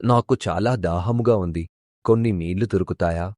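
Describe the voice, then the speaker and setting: A man's voice: low-pitched, moderate pace, a little mellow, dry recording, studio quality, close to an everyday voice. A man voicing a smitten, admiring, persistent young man in a cartoon moral story. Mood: polite.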